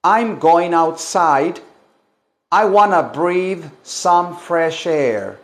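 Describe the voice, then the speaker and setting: A man teaching English, switching between languages and repeating each phrase slowly for learners. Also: a little high, lively intonation, a bit bright. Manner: slow, deliberate drilling with many repetitions. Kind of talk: bilingual language lesson.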